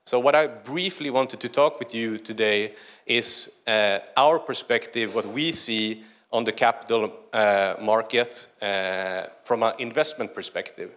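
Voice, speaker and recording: A man from northern Sweden with a Swedish accent, giving a conference presentation in a large hall in a conversational way with many "uh" pauses. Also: fairly low pitch, fairly bright tone. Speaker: conference speaker.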